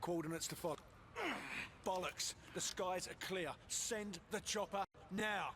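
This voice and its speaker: A fed up british soldier, bit high voice, noisy backdrop. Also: male voice, a little slow, fairly bright tone. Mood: frustrated. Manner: blunt, frustrated swearing. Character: gruff British male soldier.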